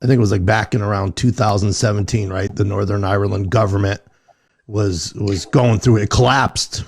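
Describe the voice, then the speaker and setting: A man's voice: on the low side, steady tone, moderate pace, creaky, darkish tone. A man hosting a talk show, asking wordy, conversational questions in a casual interview style.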